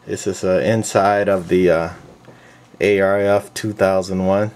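A man with a fairly low voice talks through a piece of gear casually and without a script. His delivery is matter-of-fact and halting, broken by pauses as he goes. He is recorded indoors on a handheld camcorder's own mic. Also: flat delivery, a little mellow. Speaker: vintage radio collector presenting a walk-through. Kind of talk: casual unscripted product walk-through. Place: indoors, small room. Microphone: handheld camcorder's built-in mic.

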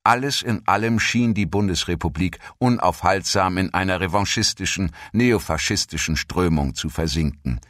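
A low-pitched male narrator reads a scripted historical documentary text. His delivery is measured and formal, as in an audiobook. The sound is dry and close, with hardly any room on it. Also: a bit bright, dry recording.

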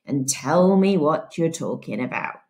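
A woman voicing a drunk, leering small-town sheriff who talks in a slow drawl. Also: low voice, lively intonation, a little mellow, pitched below the performer's own voice.

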